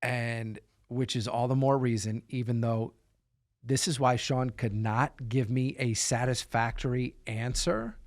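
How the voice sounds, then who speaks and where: A man's voice: mid-range voice, measured pace, dry recording, studio quality. A man telling a personal story in conversational podcast style, with an emphatic, incredulous manner. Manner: emphatic, incredulous, repeats words for stress. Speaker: podcast host telling his own story.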